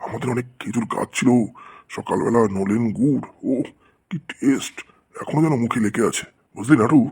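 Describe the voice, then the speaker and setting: A man voicing an excitable, gullible, quick-tempered schoolteacher in a comedy cartoon, exclaiming and teasing. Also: medium pitch, gravelly, husky. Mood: nostalgic. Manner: exclaiming, teasing, addresses pupils with mock affection. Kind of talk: Bengali comedy cartoon voice acting.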